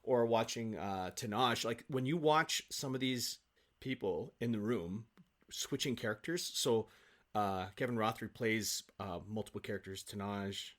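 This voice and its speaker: A man's voice: on the low side, moderate pace. Enthusiastic man chatting as an actor guest. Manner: effusive, animated storytelling with acted-out quotes. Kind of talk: casual podcast conversation.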